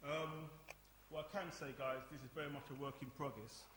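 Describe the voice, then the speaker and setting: A man giving an informal, halting live introduction as presenter of a radio play. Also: a little high, steady tone. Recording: echoey hall, hiss and noise.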